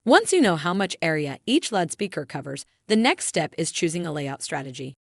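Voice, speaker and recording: A woman reading a scripted technical tutorial in a clear, measured, instructional manner. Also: deep voice, sing-song, dry recording, clean studio sound. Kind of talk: scripted explainer narration.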